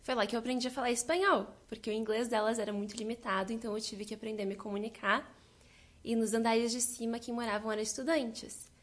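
Young southern Brazilian woman, an animated interview guest with a higher voice. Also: quick pace. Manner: lively, laughs often, quotes others' reactions. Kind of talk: interview answers.

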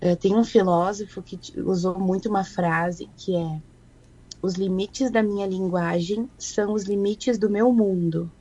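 A woman talks in an easy, reflective manner. Her voice sits at an ordinary middle pitch. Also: steady tone, measured pace, warm tone, breathy edge, dull audio. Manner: reflective, thoughtful. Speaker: guest educator. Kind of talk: conversational explanation.

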